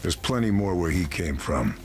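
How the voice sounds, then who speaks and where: A man playing a stoic, dry-witted Allied sniper, laconic and deadpan, in a war game cutscene. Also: sits low, normal speed. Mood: grim, dry. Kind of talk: restrained game cutscene acting.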